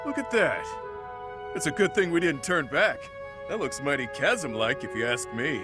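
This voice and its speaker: A brash young brawler in a game, blunt, really animated, music behind him. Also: male voice, on the high side, moderate pace. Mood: wry. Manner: casual, blunt, slangy. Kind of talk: English video game voice acting.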